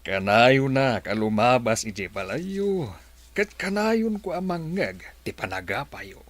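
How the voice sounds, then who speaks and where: A man's voice: medium pitch, very animated, a little slow. A man playing a lonely, insinuating older village don courting a woman in an Ilocano radio comedy drama. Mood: coaxing, wistful.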